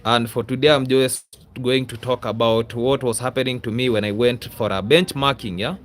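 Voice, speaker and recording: A man's voice: mid-range voice, fairly even intonation, moderate pace. A man with a Kenyan accent hosting a casual livestream, rambling and repeating himself, often adding a questioning "yeah?" to his sentences. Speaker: YouTuber, livestream host. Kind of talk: casual livestream chat to viewers.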